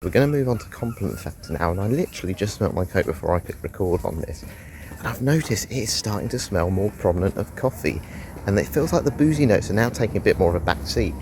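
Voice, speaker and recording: Deep-voiced sniffly man. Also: animated, fast, a little mellow, a little hiss or hum. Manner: chatty, rambling, with sighs. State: sniffly with a head cold. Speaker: fragrance reviewer. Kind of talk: casual review while walking. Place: outdoors on a walk.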